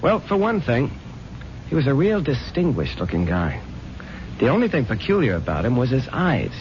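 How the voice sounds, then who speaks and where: A man's voice: mid-range voice, wildly swooping pitch, normal speed, darkish tone, a little hiss or hum. An actor playing an earnest newspaper reporter pleading his case to his editor in a radio drama. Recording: lo-fi old radio recording.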